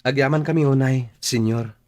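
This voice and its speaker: An actor plays an earnest young man in an Ilocano radio drama. He has a medium-pitched voice, an Ilocano accent and a grateful manner. The sound is dry, with no room echo. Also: moderate pace, a little mellow, natural voice. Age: young adult. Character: earnest, grateful young son starting a business.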